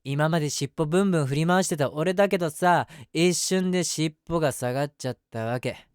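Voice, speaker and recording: A man's voice: a little high, a little fast, clean tone, dry recording, studio quality, lightly acted. A man playing an eager, submissive, lovestruck young man in an audio drama, chatting and rambling to the listener with self-mockery. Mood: deflated, wistful. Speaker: voice actor. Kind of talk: situation voice drama, first-person monologue to the listener.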